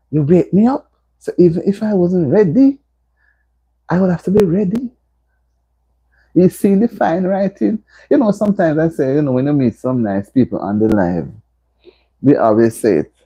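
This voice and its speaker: A woman's voice: lowish voice, creaky, mellow, slightly rough, rough recording. A woman hosting a casual livestream from home, laughing and teasing as she reads viewer comments aloud. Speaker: livestream host. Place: indoors at home.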